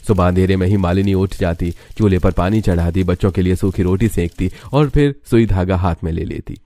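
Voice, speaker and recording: A man's voice: sits low, brisk, dark tone, no room sound. A man narrating a scripted cartoon story in a dramatic storytelling style.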